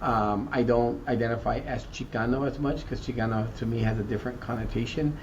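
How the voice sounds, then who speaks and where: A man from South Texas answering interview questions thoughtfully, often repeating words and pausing with "um" as he searches for the right term. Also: medium pitch, steady tone, darkish tone. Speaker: school district equity chief. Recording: muffled, distant, faint background noise.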